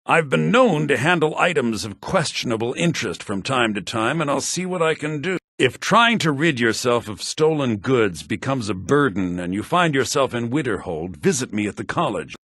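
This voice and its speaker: A male actor playing a refined, earnest scholar-mage in a video game, speaking in an articulate, formal, measured way. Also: mid-range voice, lively intonation, fairly bright tone, no room sound, studio quality. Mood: discreet, businesslike. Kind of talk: video game character dialogue.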